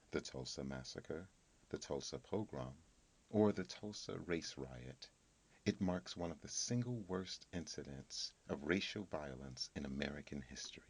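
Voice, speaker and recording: A man's voice: a bass voice, husky. A man reading a scripted encyclopedia article as a narrator.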